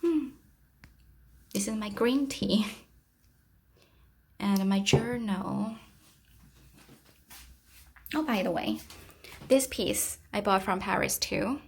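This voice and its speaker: A middle-aged woman with a Chinese accent talks through her studio in a gentle, thoughtful way. Her voice sits at an ordinary mid pitch, and she stops often to search for words, filling the gaps with quiet fillers. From China. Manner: soft-spoken, reflective, with frequent pauses and fillers. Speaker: artist giving a studio tour. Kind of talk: casual guided tour narration.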